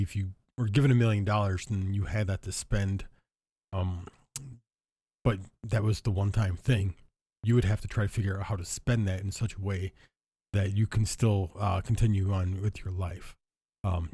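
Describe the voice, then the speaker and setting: A man hosting a casual solo talk, hoarse and stuffy after an illness, rambling and thinking aloud with lots of fillers and restarts. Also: deep voice, dark tone, dry recording, clean studio sound. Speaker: show host.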